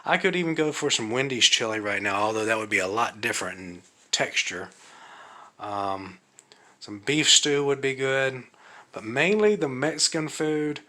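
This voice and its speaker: Man speaking slowly, slightly raspy voice. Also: medium pitch, bright, forceful tone. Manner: slow, halting, thinking aloud with many fillers. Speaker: vlogger. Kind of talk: casual vlog monologue. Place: living room of an apartment at night.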